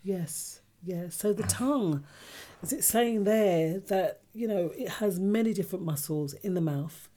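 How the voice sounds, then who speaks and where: A woman's voice: mid-range voice, a little slow, hardly any pauses, dark tone. A woman hosting a radio chat show, talking casually and reading out facts.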